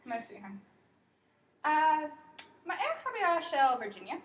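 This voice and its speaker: A woman's voice: high-pitched, sing-song, racing, fairly bright tone, a little hiss or hum. A young woman student acting out a beginner dialogue, careful and hesitant, played from a class video. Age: young adult. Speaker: language student. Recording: echoey room, faint ambience, played video.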